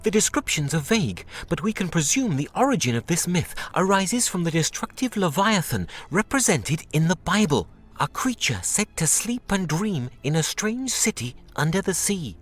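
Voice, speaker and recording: An actor playing a scholarly, sceptical translator of ancient books in a video game, with bookish, theatrical delivery. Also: male voice, high-pitched, sing-song, moderate pace, fairly bright tone, faint music behind, full character voice. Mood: scholarly, explanatory.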